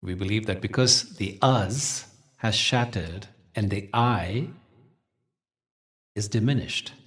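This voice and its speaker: A man leading a guided meditation as a teacher, telling spiritual stories in a gentle, measured, soothing way with deliberate pauses. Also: on the low side, recorded from a distance.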